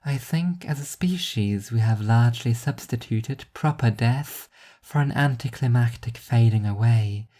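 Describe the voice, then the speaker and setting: A woman reading scripted literary fiction as a narrator, in a measured, wry way. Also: rumbling low, dark tone.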